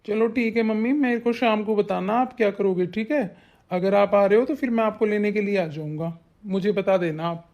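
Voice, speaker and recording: A man's voice: high-pitched, steady tone, moderate pace, a little mellow. A man voicing an affectionate adult younger son in a family cartoon drama, coaxing and pleading with his mother.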